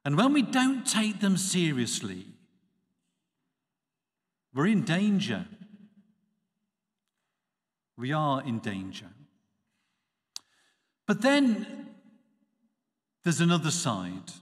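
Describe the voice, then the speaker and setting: A man, a priest giving a measured, expressive Sunday homily at a church lectern. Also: a little high. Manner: measured, expressive preaching with dropping phrase ends. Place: church sanctuary. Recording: reverberant church acoustics.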